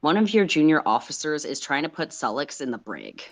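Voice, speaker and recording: A woman's voice: deep voice, very animated, brisk. A woman co-reading on a livestream, narrating a story informally. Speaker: livestream co-reader.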